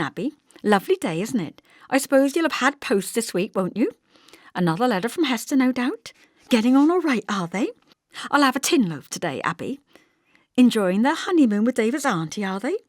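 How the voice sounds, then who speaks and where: Woman acting a high, nosy young village gossip. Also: big pitch swings, normal speed, dry recording, studio quality. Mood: prying, chatty. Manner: chirpy prying questions tacked with tags.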